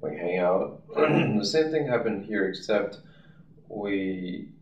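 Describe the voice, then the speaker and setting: A man from China answering interview questions, halting and searching for words. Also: fairly low pitch, lively intonation. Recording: distant, echoey and dull.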